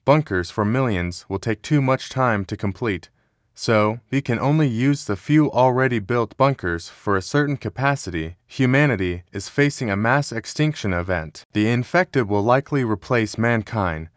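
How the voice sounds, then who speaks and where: A man's voice: medium pitch, animated, moderate pace, no room sound, clean studio sound, lightly acted. A man playing a grave public health official delivering a formal, somber scripted emergency address. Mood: grave, resigned.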